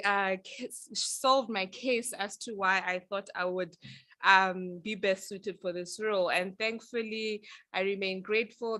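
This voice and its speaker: A young woman from Zambia talks through her career path at a panel event in an earnest, reflective way. Her voice is bright and forward, recorded close and dry with almost no room sound. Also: medium pitch, dry recording. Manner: earnest, reflective, with some filler ums. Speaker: panel speaker. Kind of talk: prepared personal talk at a panel.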